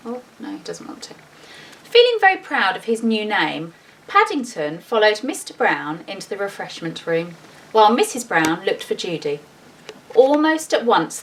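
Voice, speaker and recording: A woman reading a storybook to children expressively, with chatty, informal asides as she shows the pictures. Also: medium pitch. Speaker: school teacher reading a storybook aloud. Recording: distant mic with faint background noise.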